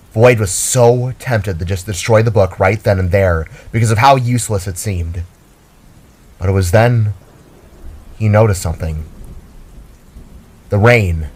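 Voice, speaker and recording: A man's voice: lowish voice, a little mellow, breathy edge. A man reading a scripted fantasy story aloud as a dramatic narrator.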